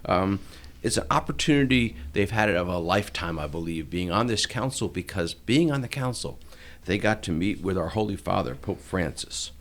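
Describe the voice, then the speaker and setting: Man, middle-aged, podcast host, friendly, chuckling, lively intonation, low voice. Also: moderate pace. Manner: friendly, chuckling, with small restarts. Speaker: podcast host, diocesan chancellor. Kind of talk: welcoming podcast interview.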